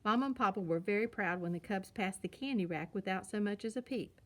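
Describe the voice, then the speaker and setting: A woman's voice: mid-range voice, a little fast. A woman narrating a children's storybook as a read-aloud storyteller.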